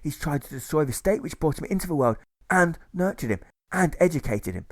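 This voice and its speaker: A man's voice: a little high, expressive, a little fast, no room sound, studio quality, voice pushed up. Harsh, throaty army commander, put on by a man. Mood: stern.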